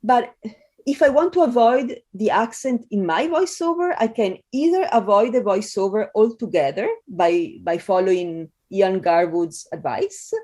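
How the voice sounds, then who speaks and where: A woman from Italy with an Italian accent, a scholar answering interview questions in a thoughtful way with frequent pauses and fillers. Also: mid-range voice, animated, a little mellow. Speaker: guest scholar.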